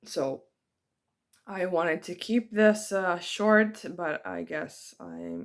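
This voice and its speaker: A woman giving a personal spiritual reflection to her viewers. She speaks in a hesitant, soft-spoken and heartfelt way, with many ums. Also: lowish voice, wildly swooping pitch, takes it slowly. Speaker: spiritual vlogger.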